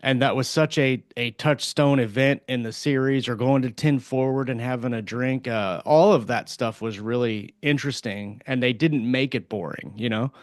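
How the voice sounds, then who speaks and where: A man's voice: medium pitch, moderate pace, hardly any pauses, no echo, studio quality. A man chatting casually as a podcast co-host. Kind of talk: casual chat.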